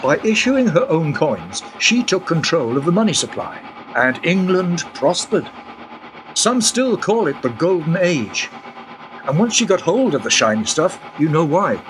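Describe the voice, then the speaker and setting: A man's voice: a little high, sing-song, a little slow, fairly bright tone, slight background noise, rough recording. A man playing a wry, knowing guide who explains history in a radio play. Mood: explaining. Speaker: time-travelling guide.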